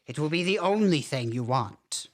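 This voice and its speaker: A man's voice: a little high, animated, moderate pace, lightly acted. An actor playing a courtly, easily flustered vampire father with formal, stilted phrasing in a campy horror comedy. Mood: persuasive, reassuring.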